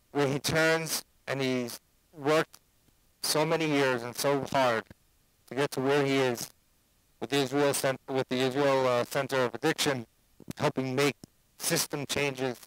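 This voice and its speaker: A rabbi tells a personal story from his yeshiva days to introduce the evening's speaker. His voice sits at an ordinary middle pitch, dry and close, and he mixes Yeshiva Hebrew phrases into his English. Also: a bit bright, no room sound, poor audio.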